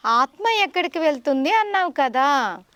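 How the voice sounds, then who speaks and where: Puppet show teacher: high-pitched woman, patient and instructive, explaining to a child, recorded dry. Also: big pitch swings, normal speed, a bit bright, dry recording.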